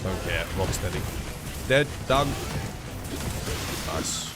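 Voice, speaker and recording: A man's voice: sits low, lively intonation, slow pace, loud music behind the voice. A man, stuffed up with a cold, casually chatting and answering viewers while streaming a game. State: congested, stuffed-up nose. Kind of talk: casual live-stream chat.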